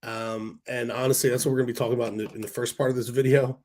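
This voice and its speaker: Hoarse man chatting on a stock stream. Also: medium pitch, little pitch movement, very fast, a little mellow. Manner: rambling, many fillers and restarts. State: hoarse from a cold. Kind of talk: casual livestream chat reading viewer comments.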